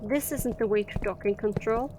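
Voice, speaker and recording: A woman's voice: medium pitch, moderate pace, close to an everyday voice. A woman playing a brusque, defiant spaceport captain in an audio drama. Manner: brusque, unimpressed. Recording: noisy mix with effects and ambience.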